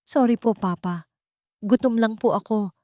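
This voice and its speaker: A woman's voice: on the high side, normal speed, warm tone, no room sound, studio quality, voice pushed up, lightly acted. A woman with a Filipino accent voicing a timid little boy with a small, trembling voice in an audiobook drama. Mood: frightened. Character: small frightened hungry boy, timid and pleading.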